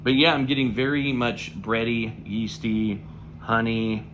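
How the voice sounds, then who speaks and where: A man with a slightly raspy voice, still getting over an illness, talking through a pipe tobacco review in a relaxed, rambling way with lots of fillers. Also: mid-range voice, unhurried, noisy background. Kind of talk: casual spoken review.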